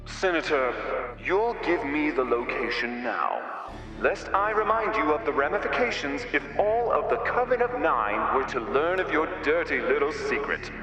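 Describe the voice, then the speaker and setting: An actor playing a sly, smug alien blackmailer in a video game, with refined, drawn-out, taunting phrasing. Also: male voice, fairly high pitch, expressive, dull audio, natural voice. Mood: menacing, gloating. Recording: roomy echo with music underneath.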